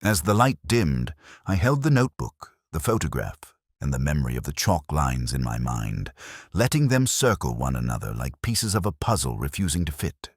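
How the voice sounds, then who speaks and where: Very deep male detective story narrator. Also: very animated, breathy edge, no echo, clean studio sound. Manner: literary, measured, brooding. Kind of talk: scripted first-person detective story narration.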